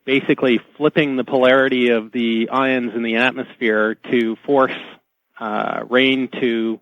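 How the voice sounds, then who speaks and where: Muffled dry radio line, male technical guest, medium pitch, matter-of-fact, halting explanations. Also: level tone, no echo. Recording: dull, band-limited radio line.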